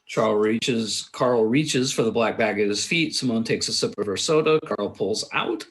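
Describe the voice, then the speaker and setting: A man narrating stage directions at a table read, in a halting, matter-of-fact way. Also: lowish voice. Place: remote video call.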